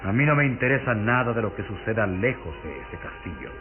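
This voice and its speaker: An actor playing a sinister, coldly courteous aristocratic count in a radio drama, suave, measured and theatrical. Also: male voice, fairly low pitch, animated, natural voice. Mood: suave, guarded. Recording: tinny lo-fi vintage radio recording with music.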